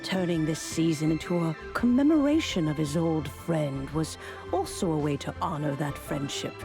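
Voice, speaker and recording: A woman playing a wise, long-lived female mentor in a video game, speaking in a gentle, measured, formal storytelling way. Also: deep voice, creaky. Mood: reflective. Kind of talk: video game character acting. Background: music.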